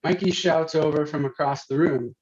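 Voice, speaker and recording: A man's voice: fairly high pitch, fairly even intonation, normal speed. A man reading scripted stage directions as narration at a table read. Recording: muffled, dull video-stream audio.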